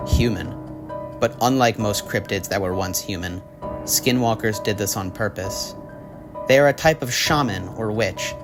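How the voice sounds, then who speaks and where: Young guy doing spooky video essay narration, medium pitch, dramatic storyteller, music playing under it. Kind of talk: scripted horror documentary narration. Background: background music.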